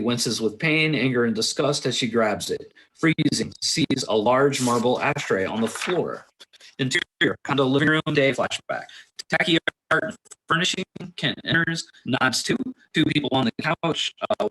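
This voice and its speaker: A man with a mid-pitched voice reads screenplay directions as narrator. His delivery is scripted but halting, with words stumbled over as at a table read. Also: a bit bright, poor audio. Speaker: narrator reading stage directions. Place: indoors on a remote internet call.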